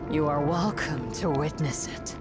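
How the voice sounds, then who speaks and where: Game villain, female: grieving, vengeful colonel, very unhurried and solemn, under loud music. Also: low voice. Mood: mournful, solemn. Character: bitter, grieving female military colonel turned vengeful betrayer. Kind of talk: dramatic video game voice acting.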